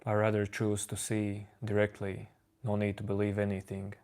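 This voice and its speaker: A man whose first language is Latvian, calmly answering viewers' chat questions on a live stream. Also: fairly low pitch. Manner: calm, unhurried replies to chat. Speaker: livestream host answering chat.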